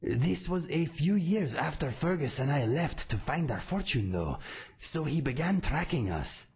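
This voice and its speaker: A raspy cat-folk swordsman. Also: fairly high pitch, big pitch swings, exaggerated voice. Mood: earnest. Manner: measured, formal and warm-hearted. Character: calm male cat-folk swordsman, earnest and loyal. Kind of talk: game character dialogue.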